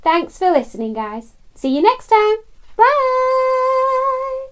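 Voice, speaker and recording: A woman's voice: very high voice, slow pace, no room sound. A woman reading aloud to young children as a storytime host, coaxing them along with questions. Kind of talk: children's read-aloud narration.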